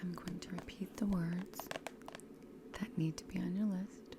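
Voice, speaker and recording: A woman whispering close to the microphone in an ASMR teacher role-play, gently repeating words and encouraging her class with patience. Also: fairly low pitch, steady tone, heavy background noise. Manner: slow, gentle repetition of trigger words. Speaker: ASMR host playing a teacher. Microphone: close sensitive stereo microphone.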